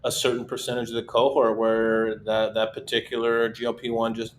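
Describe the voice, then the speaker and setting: A man hosting a casual webinar chat, talking in a relaxed, steady way with frequent restarts. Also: mid-range voice, brisk. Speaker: host.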